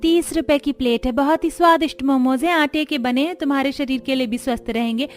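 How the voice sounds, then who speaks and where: A humble, helpful young daughter-in-law at her snack stall, voiced by a woman. She speaks in a fairly high cartoon-story voice over background music. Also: fairly quick, lightly acted.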